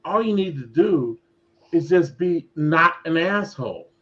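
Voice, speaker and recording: High-voiced rambling man on lo-fi call. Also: lively intonation, unhurried, fairly bright tone. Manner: rambling, stumbles and restarts, swears. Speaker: guest on a group stream. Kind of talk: casual group chat. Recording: dull lo-fi call audio.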